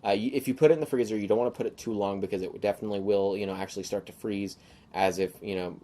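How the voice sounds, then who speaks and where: Slightly hoarse man rambling on a podcast. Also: lowish voice, steady tone, racing, dark tone. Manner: rambling, many fillers and restarts. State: slightly hoarse, sore throat. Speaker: podcast host. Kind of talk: casual solo podcast monologue.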